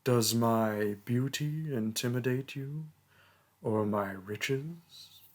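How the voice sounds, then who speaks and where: A man playing a vain, haughty mage king in a theatrical audio roleplay, speaking with condescending pomp and a breathy voice. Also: medium pitch, extremely slow. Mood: haughty, mock-gracious. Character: pompous, vain mage king, haughty toward his peasant subject. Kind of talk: theatrical roleplay monologue and storytelling.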